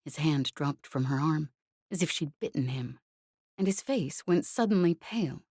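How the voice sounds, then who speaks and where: A woman narrates a novel in a low-pitched, mellow voice. The sound is very dry and close, with the measured delivery of scripted audiobook reading. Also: expressive, breathy edge, no echo.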